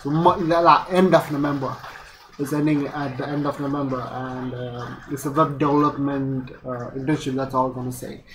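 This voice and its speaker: A young man in his late teens with an Indian accent talks to camera in a loose, rambling vlog style. His voice sits at a medium pitch, and he often breaks off and restarts his thoughts. There is faint noise behind him in a small room. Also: level tone, normal speed, a bit bright. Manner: rambling, self-interrupting, casual swearing. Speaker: YouTube vlogger, student. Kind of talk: casual unscripted vlog chat. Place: small bare room indoors. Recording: noisy room with background rain.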